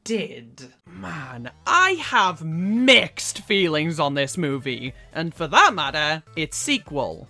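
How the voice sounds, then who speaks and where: A woman delivering scripted video essay commentary as a reviewer, opinionated and emphatic with dramatic pauses. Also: medium pitch, a bit bright. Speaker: video reviewer, channel host.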